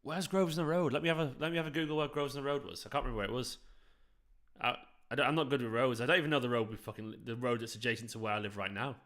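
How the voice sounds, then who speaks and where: A young man with a South Manchester accent talks loosely and at length to his viewers. His voice is bright and forward, and he wanders from thought to thought in casual chat. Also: mid-range voice, steady tone, very fast. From South Manchester, England. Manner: chatty, rambling, talks to viewers. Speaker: fan channel host. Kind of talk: live football watchalong chat.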